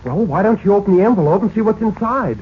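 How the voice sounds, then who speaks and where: High-voiced earnest boy. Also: animated, fast, breathy edge. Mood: eager, curious. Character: outspoken, curious, earnest teenage son. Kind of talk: 1940s radio drama acting. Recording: dull, noisy 1940s radio recording.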